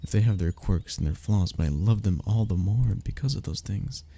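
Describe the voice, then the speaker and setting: A young man playing a wistful, lovestruck childhood best friend in an intimate audio roleplay, speaking in a halting, sighing, confessional way. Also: lowish voice, vocal fry, mellow, breathy edge. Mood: tender, lovestruck. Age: young adult.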